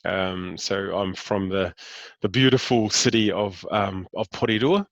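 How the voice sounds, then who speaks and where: A New Zealand man in his fifties with a very low voice, answering interview questions in a relaxed, halting way. He fills his answers with ums and restarts as he gathers his thoughts. The sound is close and dry. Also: no echo, highs cut off. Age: 50s. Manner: hesitant, many fillers and restarts. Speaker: interview guest. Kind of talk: casual interview talk.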